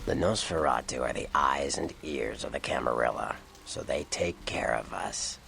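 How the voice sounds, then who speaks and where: An actor voicing a cynical, sly Nosferatu vampire informant in a video game, with a gravelly American voice and a dry, sardonic manner. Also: male voice, rumbling low, moderate pace, pushed and bright, slight background noise, heavily acted. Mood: proud, sly. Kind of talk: video game character dialogue.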